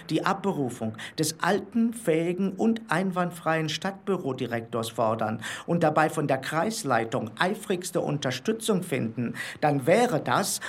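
An actor playing an aggrieved, indignant Nazi-era mayor reading his formal letter in a documentary radio play. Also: on the high side. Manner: formal, rhetorical, officious.

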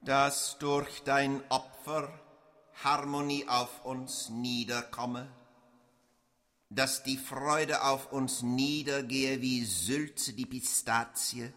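An actor playing an unctuous preacher who intones solemn, liturgical blessings in a radio drama. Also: male voice, medium pitch, bright, forceful tone. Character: unctuous preacher of gluttonous sacrifice. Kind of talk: theatrical radio drama acting.

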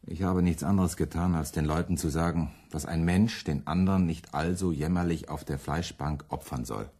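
A deep-voiced, husky radical preacher in a radio play. He is fiery and defiant, championing the hungry with accusatory, biting rhetoric. Scorn runs through his speech. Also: moderate pace, dark tone, heavily acted. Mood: urgent, defiant. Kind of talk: German radio play acting.

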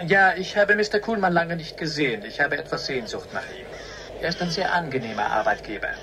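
A polite robot servant speaks in a slightly high male voice, formal and exact, devoted to its employer. It sounds like a sci-fi radio play, with clear noise behind the voice. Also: lively intonation, brisk. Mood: politely insistent. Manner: formal, precise, butler-like. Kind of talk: German radio drama acting.

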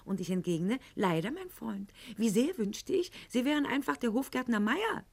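An actress playing a proud, sharp-tongued aristocratic lady in a radio play monologue, speaking in an articulate, ironic and haughty manner. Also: a little high, very animated, moderate pace, creaky, exaggerated voice. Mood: dry, ironic. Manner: haughty, ironic, articulate, addressing a silent listener.

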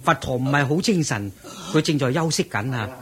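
An actor playing a protective, dutiful monk attendant in a radio drama, with a husky voice. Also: male voice, medium pitch, lively intonation, fairly quick, crowd noise, natural voice. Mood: firm, refusing. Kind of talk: Cantonese radio drama acting.

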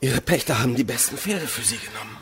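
Grumbling male servant amid loud noise, acted out. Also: medium pitch, lively intonation, fast talker, close to an everyday voice. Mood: teasing, deferential. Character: deferential, grumbling hired helper hauling a load. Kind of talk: radio drama acting. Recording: very noisy, off-mic scene.

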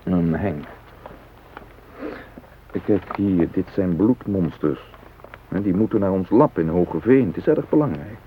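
An actor in a radio drama playing an earnest hostage who pleads urgently and a little hesitantly. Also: male voice, low-pitched, animated, measured pace, pauses often, slight background noise. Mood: pleading, urgent. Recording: dull, muffled old radio recording.

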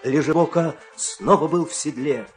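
A man narrating a children's fairy-tale radio play with dramatic, expressive storytelling delivery. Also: a little high, normal speed, faint music behind.

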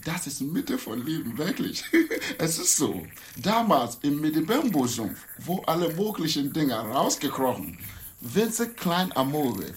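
An actor playing an eerie, rapt swamp mystic in a radio drama, speaking in broken, chuckling phrases. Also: male voice, a little high, a little hiss or hum, full character voice. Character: eerie swaying old-world swamp mystic, gleefully visionary.

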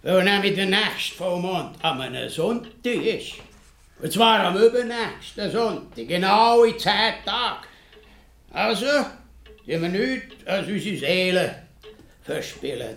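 Ein Schauspieler mit Schweizer Akzent spielt in einem Hörspiel einen sturen, misstrauischen, moralisierenden alten Bauern und Vater. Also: male voice, sits high, creaky, fairly bright tone, roomy sound, full character voice. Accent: Swiss. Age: older. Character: stubborn, moralising old village father, suspicious know-all. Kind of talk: radio play acting.

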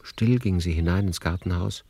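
A man narrating a literary radio play in a measured, lyrical storytelling manner. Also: deep voice, gravelly, dark tone, slightly rough.

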